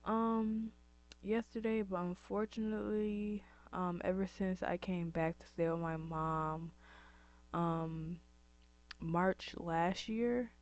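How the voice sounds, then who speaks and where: A young woman with a dry, scratchy throat chats to her camera in an unhurried, meandering way, filling pauses with ums and likes. The sound is dull and muffled. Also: lowish voice, fairly even intonation, a little mellow. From upstate New York. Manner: rambling, lots of ums and likes. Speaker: vlogger. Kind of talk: casual chatty vlog. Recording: dull lo-fi recording.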